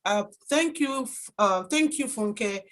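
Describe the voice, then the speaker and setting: Halting woman hosting online. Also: a little high, lively intonation. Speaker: host of an online pageant workshop. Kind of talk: informal live moderating. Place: online video call.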